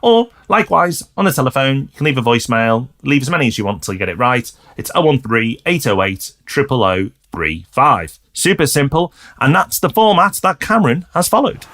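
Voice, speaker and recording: British male co-host, animated pitch, emphatic explainer. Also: a little fast, few pauses. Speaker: podcast co-host. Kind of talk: podcast advice chat.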